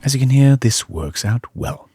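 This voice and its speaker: A man's voice: medium pitch, animated, moderate pace, dark tone, breathy edge, no echo, clean studio sound, faint music behind. A man giving scripted tutorial narration as an audio engineering instructor.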